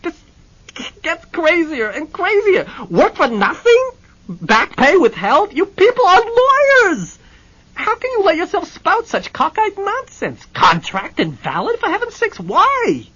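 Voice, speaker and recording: Man doing a loud sputtering hothead, high and husky, muffled lo-fi sound. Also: big pitch swings, moderate pace. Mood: outraged. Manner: sputtering, shouting. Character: blustering, indignant hot-tempered man.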